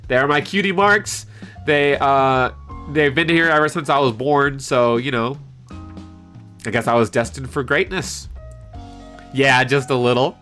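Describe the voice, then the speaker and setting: A man chatting casually on a live stream in an animated, hesitant way, reading out and answering viewer comments. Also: mid-range voice, a little slow, long pauses. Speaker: livestreamer and amateur voice actor. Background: piano background music.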